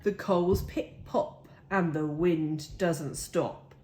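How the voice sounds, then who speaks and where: A woman reading a children's picture book aloud with deliberate, dramatic phrasing. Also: on the low side, darkish tone, slight background noise. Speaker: storybook reader.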